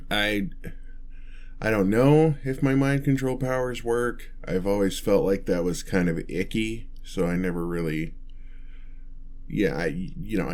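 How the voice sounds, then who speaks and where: A man's voice: deep voice, darkish tone. A man playing a meek, nerdy, newly turned vampire in an ASMR roleplay, hesitant, stammering and apologetic as he rambles. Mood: sheepish.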